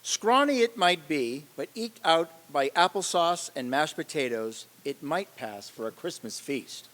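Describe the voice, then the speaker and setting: A man playing the measured narrator of a ghost tale in an old time radio reading. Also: on the high side, lively intonation, fairly bright tone. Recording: reverberant hall, faint hiss.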